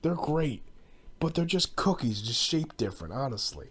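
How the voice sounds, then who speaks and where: A young American man hosts a podcast as a loose, rambling rant. His voice sits at an ordinary middle pitch. He sounds exasperated and talks in casual, profane slang with a comic edge. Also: very animated, moderate pace, fairly bright tone, breathy edge. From United States. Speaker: podcast host.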